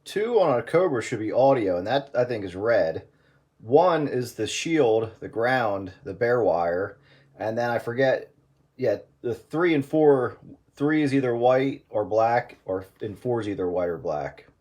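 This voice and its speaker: A man's voice: mid-range voice, animated, unhurried, a little mellow. A man explaining casually, thinking aloud as he recalls details for a fellow radio hobbyist. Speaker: radio hobbyist giving advice.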